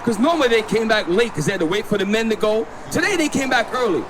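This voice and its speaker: A man with a high-pitched voice preaches on a city street and insists on his points. Traffic roars clearly behind him. He keeps directing a companion to read verses aloud. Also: animated, fast talker. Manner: insistent, instructs a reader to read. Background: passing vehicles.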